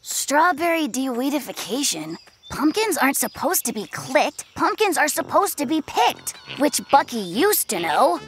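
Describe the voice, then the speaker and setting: Young male bear cub for kids' cartoon: high, lively inflection, sincere and friendly, music bed. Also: exaggerated voice. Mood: puzzled. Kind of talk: children's cartoon voice acting. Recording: music behind the voice.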